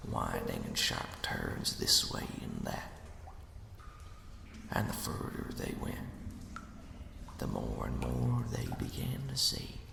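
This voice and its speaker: A man narrating a ghost story as ASMR in a hushed, slow, dramatic whisper with a breathy, gravelly edge. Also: extremely low pitch, wildly swooping pitch, background noise. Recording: echoey, long cavernous reverb.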